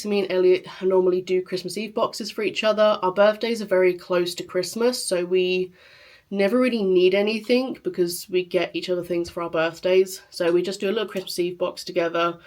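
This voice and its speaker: Hoarse woman chatting softly. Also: on the low side, steady tone, normal speed. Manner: chatty, rambling, lots of fillers. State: sore throat, scratchy quiet voice. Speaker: lifestyle vlogger. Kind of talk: casual chat to camera.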